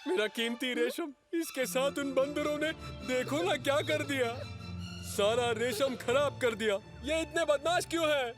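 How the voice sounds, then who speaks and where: A man playing a fussy, loud merchant in a cartoon dub, whining and indignant over his precious goods. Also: extremely high pitch, a little slow. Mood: wailing. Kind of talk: Hindi cartoon dub acting. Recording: music behind the voice.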